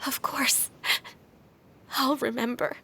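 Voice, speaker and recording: A woman's voice: sits high, steady tone, slow pace, creaky, pushed and bright, exaggerated voice. A woman playing a timid, fearful teenage orphan girl with a hesitant, deferential way of speaking in a video game. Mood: obedient. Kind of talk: video game voice acting, emotional dialogue.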